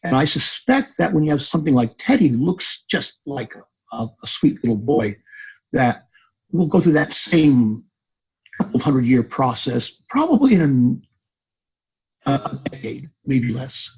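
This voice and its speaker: A man with a husky voice being interviewed as an author, speaking haltingly and often repeating words as he thinks. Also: mid-range voice, very animated, darkish tone, low-quality recording. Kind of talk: podcast interview conversation.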